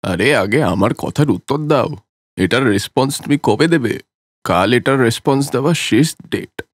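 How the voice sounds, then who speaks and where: A man's voice: mid-range voice, animated, moderate pace, no room sound, studio quality, close to an everyday voice. A man voicing a proud, money-minded father in a dramatic cartoon story. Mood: insistent. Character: proud, money-minded older father pressing his son.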